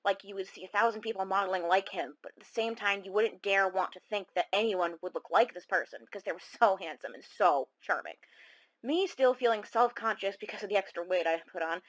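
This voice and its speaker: A woman acting as a self-conscious caller on a horror radio drama. Her mid-pitched voice is rueful and confiding, wandering through a conversational monologue with small hesitations. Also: fairly bright tone, close to an everyday voice, low-quality recording.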